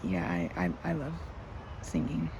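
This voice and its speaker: Woman playing a timid, stammering young woman, slow and creaky. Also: very deep voice, big pitch swings, dull audio, exaggerated voice. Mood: bashful, modest. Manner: stammering, hesitant, self-effacing, rambling. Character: timid, flustered young woman, sweet park regular. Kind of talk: ASMR roleplay, one-sided conversation. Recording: clearly noisy outdoor ambience.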